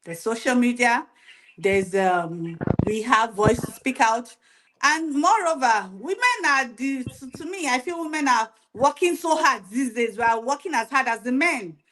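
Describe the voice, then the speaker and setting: A woman's voice: on the high side, animated, unhurried, fairly bright tone, low-quality recording. A woman, a panelist giving her opinion earnestly in a chat show discussion. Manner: earnest, argumentative.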